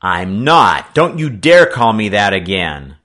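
A stubborn, righteous boy, voiced by a male narrator in an adventure story. He speaks earnestly and indignantly, with emphatic, lively swings of pitch. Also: moderate pace. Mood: defiant. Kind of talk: audiobook character acting.

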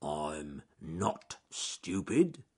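A man doing a slow, careful, clever bear. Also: very deep voice, big pitch swings, dark tone, husky, voice pushed down, full character voice. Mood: pleased. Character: slow clever male bear, big and strong.

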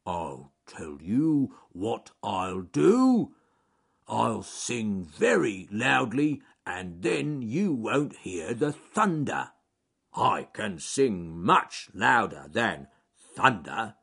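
A man's voice: on the low side, sing-song, extremely slow, a bit bright, heavily acted. A man voicing a large, gloomy, proud male bear in a storybook, with a growly voice and a ponderous, grumbling manner. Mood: slow, earnest. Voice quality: growly, husky.

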